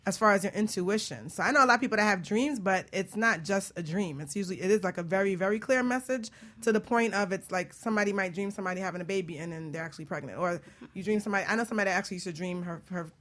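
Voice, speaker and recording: Fast-talking woman host, bright voice. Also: medium pitch. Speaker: talk show host. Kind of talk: casual talk show chat.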